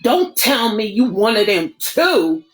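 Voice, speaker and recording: A woman voicing a bitter, betrayed young man who speaks in a low, brooding, clipped way. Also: expressive, slow, a bit bright, soft background music, heavily acted.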